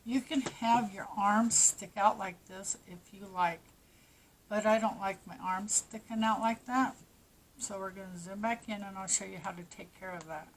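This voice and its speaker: A woman's voice: medium pitch, fairly even intonation, moderate pace, a little hiss or hum, rough recording. A woman with a stuffy nose and a fading voice, giving plain, practical step-by-step crochet instructions. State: stuffy nose, losing her voice. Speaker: crochet teacher. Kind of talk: step-by-step craft tutorial.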